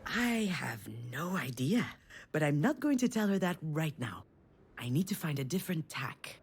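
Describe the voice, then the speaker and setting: A woman's voice: low-pitched, sing-song, moderate pace, a little hiss or hum. An actress playing a wry, self-deprecating failed screenwriter, confiding her thoughts as a game's narrator in a sardonic way. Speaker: narrator and protagonist. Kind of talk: first-person inner monologue in a narrative game.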